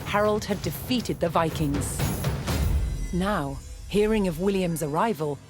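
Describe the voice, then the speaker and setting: Woman doing history doc voiceover, lowish voice, dramatic and measured, big music behind her. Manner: measured, dramatic storytelling delivery. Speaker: documentary narrator. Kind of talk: scripted historical documentary narration. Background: loud music behind the voice.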